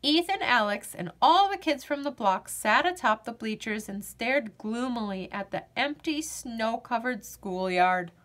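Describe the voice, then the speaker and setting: A woman reading a children's picture book aloud in an expressive storytelling manner. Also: a little high, a little slow, few pauses, slight background noise.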